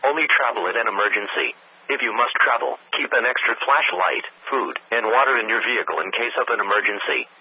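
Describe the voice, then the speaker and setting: A synthetic text-to-speech man reads a scripted weather warning bulletin over the radio in measured, impersonal, segmented phrases. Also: mid-range voice, husky. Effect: weather radio broadcast. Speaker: automated weather radio announcer. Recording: tinny narrowband weather radio broadcast.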